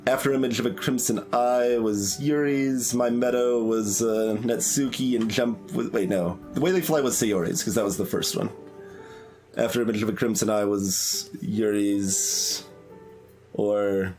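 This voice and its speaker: A man's voice: on the low side, unhurried. A young man giving commentary on a game, musing aloud with many fillers and restarts. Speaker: gaming YouTuber. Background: soft game music.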